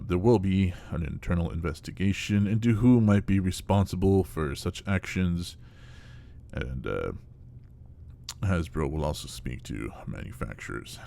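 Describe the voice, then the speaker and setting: A man plays a male official with a very low voice full of gravelly creak. He reads a report in a formal, measured way, pausing hesitantly, as part of a horror audio drama. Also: warm tone, a little hiss or hum, full character voice. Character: dry male official reading an investigation report.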